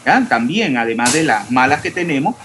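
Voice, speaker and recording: A man from Panama, teaching a chemistry class online and questioning students. He talks about clear diction and sounds out every s. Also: mid-range voice, big pitch swings, normal speed, noisy background.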